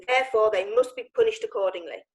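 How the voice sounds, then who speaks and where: A woman's voice: mid-range voice, fast, natural voice. A woman in a radio drama playing a scornful, indignant prosecutor who speaks in accusing courtroom rhetoric.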